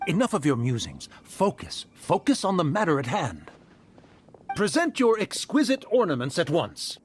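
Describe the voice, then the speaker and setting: A pompous video game bandit declaims in a high, wildly swooping voice. His phrasing is flowery and impatient, with faint rumbling noise behind. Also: wildly swooping pitch, normal speed. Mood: impatient, demanding.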